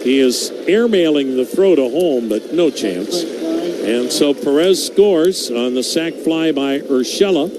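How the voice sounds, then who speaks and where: A male radio play-by-play announcer calls baseball at an unhurried pace, naming each pitch and the count. His voice sits a little high for a man. Steady noise and outdoor ambience run under the broadcast. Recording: noisy broadcast audio.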